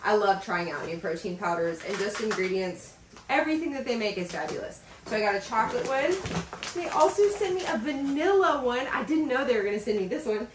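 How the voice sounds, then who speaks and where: A woman in her thirties chatting in a casual vlog as she unboxes packages in a home office, gushing and excited, with lots of fillers. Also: on the high side, fairly quick, recorded from a distance, background noise. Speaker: vlogger. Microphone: camera across a desk. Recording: roomy sound from off the mic.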